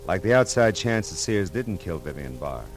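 Casting: hard-boiled male detective, low register, terse and wry, vintage radio drama with music. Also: very animated, normal speed. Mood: cool, probing. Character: world-weary, wisecracking male private detective. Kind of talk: classic radio detective acting with narration. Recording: old radio recording, music behind.

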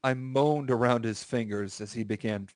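Guy doing a comedic dramatic reading for his podcast, close dry sound, normal pitch. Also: moderate pace, no echo. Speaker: podcast host reading aloud.

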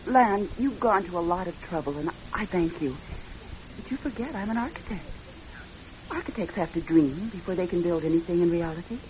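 A woman's voice: lowish voice, lively intonation, a little fast, a little mellow, a little hiss or hum, natural voice. Woman acting an earnest bride-to-be, dull recording. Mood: guarded, calm. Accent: American. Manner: earnest, hesitant, polite but persistent. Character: earnest, composed woman engaged to marry, gently insistent. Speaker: actress in a radio play. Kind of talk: classic American radio drama acting.

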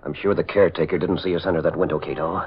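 An actor playing a bold, decisive crime fighter in a 1940s radio drama, speaking in a commanding, deliberate way. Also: male voice, deep voice, fairly even intonation, soft background music, natural voice. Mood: alert. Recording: dull, faintly noisy old radio recording.

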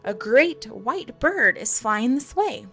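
A woman voicing eager, simple young boys in a storybook, with a breathless, excitable manner. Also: sits high, normal speed, faint music behind, pitched above the performer's own voice. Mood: awestruck.

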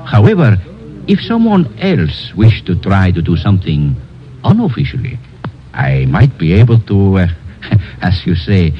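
Low-voiced, crafty Spanish-accented police lieutenant. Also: male voice, sing-song. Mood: sly, hinting. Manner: formal, deliberate, insinuating. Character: weary, crafty, courteous Latin police lieutenant. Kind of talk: old-time radio drama acting. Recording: muffled old radio recording, faint music.

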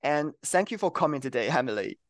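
A man's voice: on the high side, lively intonation, a little fast, no echo. Earnest young man hosting, dry sound. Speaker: podcast host, PhD student. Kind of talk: interview introduction.

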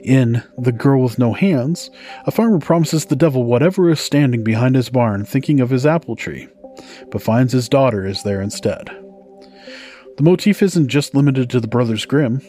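A man's voice: medium pitch, lively intonation, moderate pace, dark tone. A man hosting a podcast, narrating folktales from a script in a storytelling style. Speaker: podcast host. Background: music.

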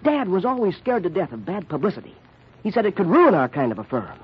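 High-pitched, nervous young heir. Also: male voice, wildly swooping pitch, fast talker, slightly rough, heavily acted. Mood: earnest. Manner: agitated, protesting. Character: nervous, indignant young son of a wealthy family. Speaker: radio drama actor. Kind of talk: old-time radio mystery acting. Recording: muffled old-time radio recording.

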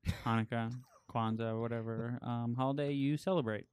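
Fast-talking man with a smooth voice. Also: mid-range voice, steady tone, darkish tone, dry recording. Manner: fast, probing, interrupts with questions. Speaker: podcast co-host. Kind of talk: casual chat.

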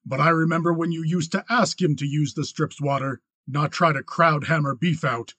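A man voicing an angry, cold young cattle rancher in an audiobook western, flat, clipped and challenging. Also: fairly high pitch, measured pace, slightly rough, no echo, full character voice. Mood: harsh, snapping.

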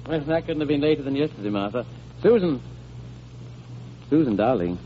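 A man playing a devoted, sorrowful father in a vintage American radio drama, speaking earnestly and tenderly, with a halting delivery. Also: mid-range voice, animated, close to an everyday voice. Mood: cheerful, playful. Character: devoted, sorrowful father shielding a dying child with fairy tales. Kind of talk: 1930s-40s American radio drama acting. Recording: muffled old radio recording with hiss.

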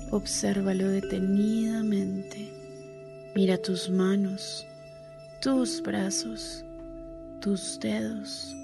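A woman leads a guided meditation. She speaks slowly at a medium pitch, with music clearly audible behind her.

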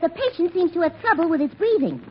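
A woman's voice: very high voice, very animated, quick pace. A woman playing a dutiful, dramatic nurse in an old-time radio comedy. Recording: muffled, very noisy vintage radio recording.

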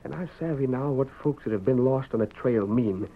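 A man's voice: mid-range voice, brisk, a little hiss or hum, natural voice. An American actor playing an exhausted, dutiful frontier husband and father with a folksy Western drawl, in old-time radio style. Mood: weary, puzzled. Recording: muffled narrowband vintage radio recording.

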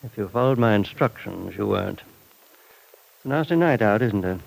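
A man's voice: fairly low pitch, lively intonation, moderate pace, gravelly, low-quality recording. An actor in an old-time radio drama playing a cold, mysterious, menacing man who speaks in a clipped, domineering way. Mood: suspicious, probing. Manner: clipped, controlled, coolly domineering.

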